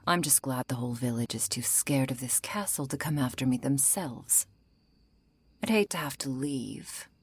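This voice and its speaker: Woman playing a low, breathy, unhurried vampire lady. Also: dry recording, exaggerated voice. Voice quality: soft, breathy, often falling into whisper. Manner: unhurried, wry, politely menacing. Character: female vampire, century-old, composed, menacing yet lonely. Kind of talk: ASMR roleplay, intimate scripted monologue.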